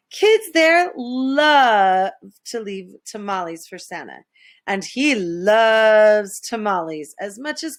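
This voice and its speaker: A woman reading a children's story aloud in an expressive, dramatic way. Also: mid-range voice. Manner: animated, dramatic, with hushed asides. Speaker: storybook reader.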